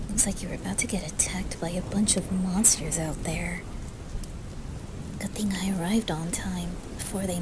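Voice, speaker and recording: A gentle anime boy, played by a woman, speaks slowly in a soft, breathy near-whisper. He is caring and hesitant, pausing often, in an ASMR roleplay with noisy rain ambience behind him. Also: lowish voice. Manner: hesitant, quiet, with pauses.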